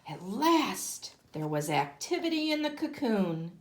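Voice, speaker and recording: A woman teacher reads a story aloud for young children. Her delivery is slow and highly animated, with deliberate emphasis on key words. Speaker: kindergarten teacher.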